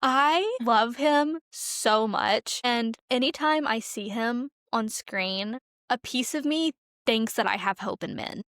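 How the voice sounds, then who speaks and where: A woman's voice: a little high, slow pace, fairly bright tone, no room sound, studio quality. A young woman co-hosting a podcast, chatting casually in a gushing way, sprinkling in "like" often. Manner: gushing, giggly, frequent "like". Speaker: podcast co-host. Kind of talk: casual chat about films.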